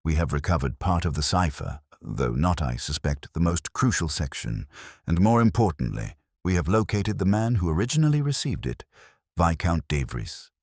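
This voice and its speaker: A man plays a razor-sharp Victorian detective in an audiobook. The voice is very deep, cold and composed, with formal phrasing and a dry sound. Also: sing-song, normal speed, no echo, exaggerated voice.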